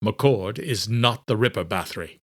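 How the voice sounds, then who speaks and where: A man's voice: on the low side, lively intonation, moderate pace, a bit bright, dry recording, studio quality. Man playing a curt, regal nobleman. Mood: sharp.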